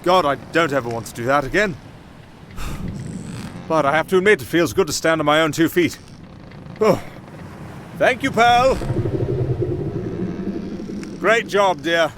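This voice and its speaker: A man's voice: a little high, lively intonation, measured pace, pauses often. Man playing wry castaway captain over sea noise. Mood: relieved. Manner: chatty, wry, addressing an unheard companion. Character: roguish, wry young castaway musician turned makeshift captain. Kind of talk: ASMR fantasy roleplay acting. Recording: clear outdoor sea ambience behind.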